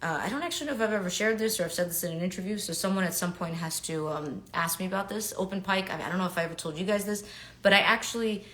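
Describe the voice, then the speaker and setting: A woman's voice: fairly low pitch, very fast. Chatty New York woman signing autographs. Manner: chatty and upbeat, many fillers, quiet murmurs while writing. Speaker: actor signing autographs on a livestream. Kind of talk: casual chat with fans while signing.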